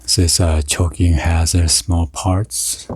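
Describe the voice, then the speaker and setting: A man giving halting, murmured ASMR commentary as he hosts an unboxing, with short item-by-item remarks. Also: low-pitched, level tone, slightly rough, no echo. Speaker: unboxing video host.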